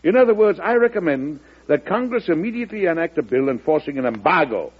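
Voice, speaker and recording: An actor playing an earnest, resolute, idealistic American president in old-time radio drama, measured and oratorical. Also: male voice, fairly high pitch, sing-song, slightly rough. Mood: solemn, earnest. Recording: dull vintage radio recording.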